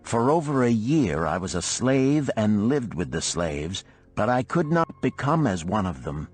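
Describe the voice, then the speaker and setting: A man's voice: on the low side, big pitch swings, a little slow, darkish tone, slightly rough, faint music behind. A man reading a scripted first-person story as an audiobook narrator, in a formal, dramatic manner.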